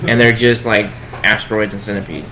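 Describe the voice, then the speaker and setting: Low-voiced man chatting over crowd noise. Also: steady tone, fairly quick, poor audio. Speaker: podcast co-host. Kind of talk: casual chat.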